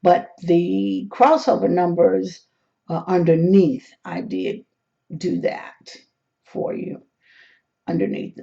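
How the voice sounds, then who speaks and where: A hoarse host explains number charts step by step, with hesitant restarts. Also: mid-range voice, sing-song, takes it slowly, dark tone, highs cut off. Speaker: lottery number tutorial host. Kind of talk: screen walkthrough of number charts.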